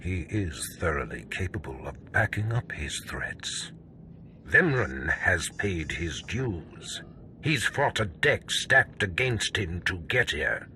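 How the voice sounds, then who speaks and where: A cold Sith overseer in a game cutscene. His low voice is sharp and forceful, with slow, imperious delivery full of contempt. Faint ambience sits behind him. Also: very animated, a little hiss or hum, full character voice. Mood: cold disdain. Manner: imperious, deliberate, clipped pronouncements. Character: stern, contemptuous Sith overseer, a cold commanding male master. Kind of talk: video game cutscene acting.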